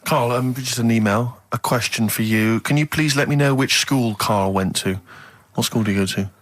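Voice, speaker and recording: A man's voice: medium pitch, normal speed, a bit bright. A man co-hosting a radio show, presenting casually with dry, mocking questions. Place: radio studio.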